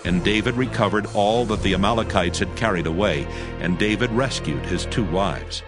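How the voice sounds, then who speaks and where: Male narrator, lowish voice, solemn measured scripture reading, music bed clearly behind.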